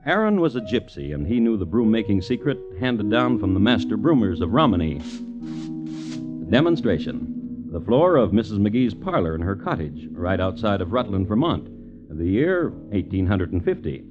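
Muffled old radio, low male host telling a story dryly, bit of music behind. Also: very animated, moderate pace. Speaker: host and narrator. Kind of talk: 1950s radio scripted narration. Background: musical score.

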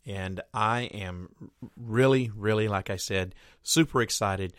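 A man's voice: lowish voice, a little slow, no echo, studio quality. A man hosting his own podcast in a casual, rambling monologue with frequent fillers and restarts. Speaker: podcast host.

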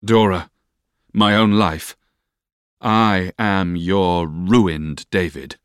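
A man voicing an earnest, lovestruck young gentleman in a storybook reading, solemn and pleading in drawn-out declarations. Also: medium pitch, fairly even intonation, no room sound, studio quality, pitched above the performer's own voice, natural voice.

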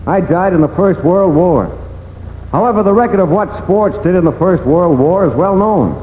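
An actor playing the solemn, insistent ghost of a ballplayer killed at war, declaiming in 1940s radio style with ghostly reverb. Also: male voice, high-pitched, lively intonation, normal speed. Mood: solemn, challenging. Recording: dull vintage radio, echoey reverb, noisy.

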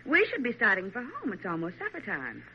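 Refined president's wife, wry, affectionate, animated pitch, 1940s radio drama, dull lo-fi sound. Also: female voice, fairly quick. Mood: teasing. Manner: wry, genteel, lightly teasing. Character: president's wife, refined, teasing, affectionate, gently practical woman. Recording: muffled, noisy old radio recording.

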